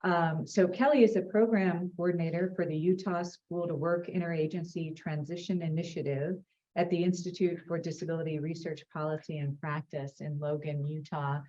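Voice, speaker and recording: Low-voiced woman moderating, muffled audio. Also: fairly even intonation, normal speed, mellow. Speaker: session moderator. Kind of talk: introducing speakers.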